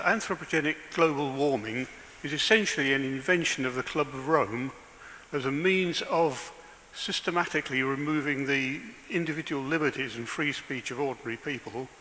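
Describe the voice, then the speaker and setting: Man with a sharp voice asking from the floor. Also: mid-range voice, big-room sound, slight background noise. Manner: hesitant, halting, with many fillers. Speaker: audience member asking a question.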